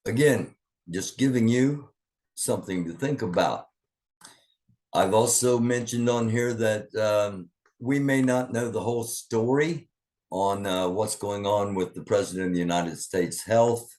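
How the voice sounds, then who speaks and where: A man with a lowish voice talks through headlines like an online commentary host. He rambles and thinks aloud, with plenty of fillers and restarts. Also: unhurried, darkish tone. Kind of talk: casual online news commentary.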